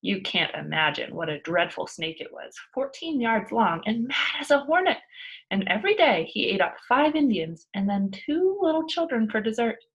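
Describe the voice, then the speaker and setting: Woman playing a cheeky storybook girl who spins tall tales; emphatic, argumentative, swings widely in pitch. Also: big pitch swings, moderate pace, highs cut off. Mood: boastful, excited. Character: cheeky, boisterous young girl, cocksure tall-tale teller. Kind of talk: children's storybook read-aloud.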